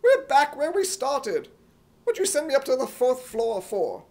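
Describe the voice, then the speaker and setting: A man voicing an excitable, gullible, indignant comic hero in a loud, exclamatory, put-on British accent, in 1950s radio comedy style. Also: very high-pitched, a little slow, gravelly, heavily acted. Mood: exasperated. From United States.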